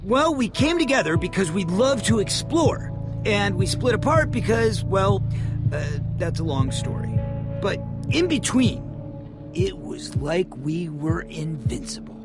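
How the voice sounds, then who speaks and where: An actor playing a nostalgic adventurer in a video game, casual and a little hesitant. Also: male voice, high-pitched, very animated, unhurried. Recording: loud music behind the voice.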